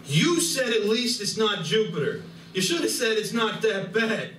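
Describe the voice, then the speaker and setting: A grumbling alien con artist , acted by a man in a live comedy radio play. He is sarcastic, exasperated and crude in his complaints. The recording is roomy and distant, with clear noise around it. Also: high voice, very animated, moderate pace, fairly bright tone. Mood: panicked, exasperated. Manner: exasperated, foul-mouthed complaining.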